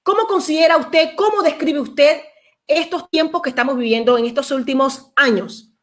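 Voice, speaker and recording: A woman's voice: high-pitched, moderate pace. A woman from Venezuela hosting a church talk program, peppering her speech with amens in a preacherly way. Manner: warm, frequent amens, preacherly. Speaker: program host.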